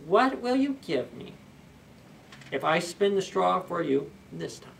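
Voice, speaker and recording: A man doing a slow, high, sly little imp. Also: very animated, vocal fry, slight background noise, exaggerated voice. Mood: bargaining. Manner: slow, drawn-out, scheming. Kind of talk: storybook character voice. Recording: slightly distant camera microphone.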